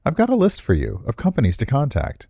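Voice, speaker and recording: A man's voice: medium pitch, huge pitch swings, fast, creaky, dark tone, no room sound, studio quality, pitched above the performer's own voice, exaggerated voice. A man reading visual novel dialogue as a curt, tired older great-aunt, stern and reproachful.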